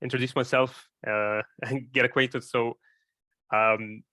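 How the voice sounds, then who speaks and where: A man's voice: mid-range voice, little pitch movement, takes it slowly, pushed and bright, no room sound. A man from Egypt, a startup founder, introducing himself in a live online Q&A and talking hesitantly, with many fillers and restarts.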